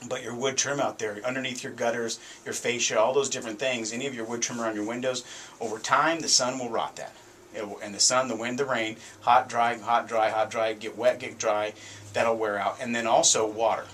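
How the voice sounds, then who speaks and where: A man's voice: medium pitch, fairly even intonation, fairly quick, a bit bright, slight background noise. A middle-aged American man hosting a radio show, telling stories in a casual, conversational and emphatic way. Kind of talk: casual radio talk, storytelling. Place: small foam-treated radio studio, indoors. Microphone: studio microphone on boom arm.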